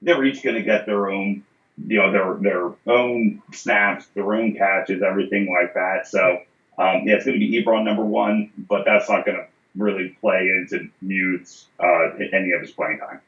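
A man's voice: low-pitched, fairly even intonation, normal speed, a bit bright. A man co-hosting a casual sports chat, easygoing and filler-heavy. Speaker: co-host. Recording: dull echoey call audio.

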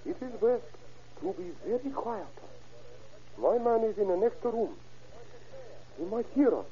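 High-voiced, halting foreign dealer. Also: male voice, sing-song, mellow, highs cut off. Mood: hushed, careful. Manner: halting, formally polite, broken English. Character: wary, deferential male foreign drug dealer. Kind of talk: 1940s radio acting. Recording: old radio recording, thin, faint noise.

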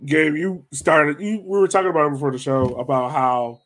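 A man's voice: on the high side, steady tone, fairly quick, a bit bright. A man hosting a casual sports discussion, talking conversationally and putting questions to his co-hosts. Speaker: podcast host. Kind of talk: casual sports analysis chat.

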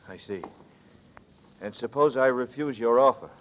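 A man's voice: mid-range voice, normal speed, fairly bright tone, lightly acted. An actor playing a tense, hunted man who narrates his ordeal in terse, grim first person, in a 1940s radio drama. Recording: dull 1940s radio broadcast with music.

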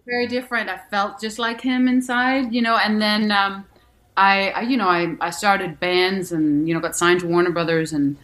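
A woman's voice: mid-range voice, fairly even intonation, moderate pace, a bit bright. A woman from Denmark, an actress and musician, answering interview questions candidly with many restarts and fillers.

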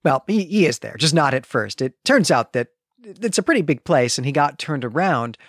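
A male podcast co-host with a slightly high voice. He retells the plot of a novel conversationally, as one host to another, and the recording is dry and close, free of room echo. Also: big pitch swings, normal speed.

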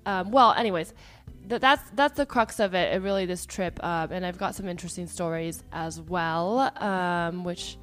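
A woman hosting a podcast in a casual, chatty way, her voice hoarse and partly lost after travel, with many fillers. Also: fairly low pitch, moderate pace, hardly any pauses, a bit bright, faint music behind. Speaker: podcast host.

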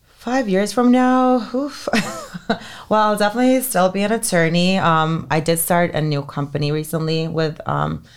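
A woman's voice: on the low side, steady tone, moderate pace, smooth. Chatty woman from Finland, full of fillers. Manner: chatty, heavy use of "like" and "um" fillers. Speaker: entertainment lawyer, podcast guest. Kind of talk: casual interview chat.

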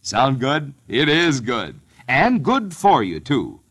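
A man's voice: medium pitch, huge pitch swings, slow, a bit bright. A man reading an old-time radio advertisement as a commercial announcer.

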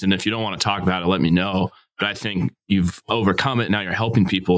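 Lower-voiced podcast host, dry sound. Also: male voice, dry recording. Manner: friendly, careful questioning. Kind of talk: interview questions.

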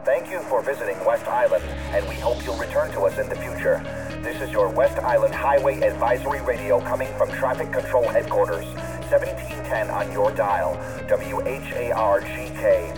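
Man as traffic radio announcer, formal, measured, medium pitch, distant, music behind. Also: natural voice. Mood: official, neutral. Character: formal male highway advisory radio announcer.

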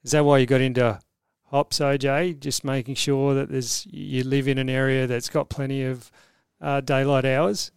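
A man's voice: medium pitch, little pitch movement, normal speed, smooth, no echo, clean studio sound. A man hosting a podcast interview, prompting guests with friendly questions. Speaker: podcast host.

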